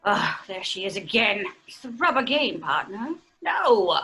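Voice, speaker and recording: Animated, prim English mother. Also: medium pitch, breathy edge, faint music behind, full character voice. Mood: genteel, chatty. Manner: prim, brisk, proper. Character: genteel English mother of the house, chiding middle-aged hostess. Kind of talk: 1930s-style radio drama acting.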